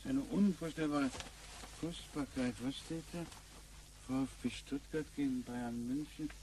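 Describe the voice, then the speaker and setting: A man's voice: mid-range voice, steady tone, normal speed, dark tone, a little hiss or hum, natural voice, poor audio. A man playing an anxious, kindly grandfather from the future, flustered and polite, in a radio play. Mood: amazed.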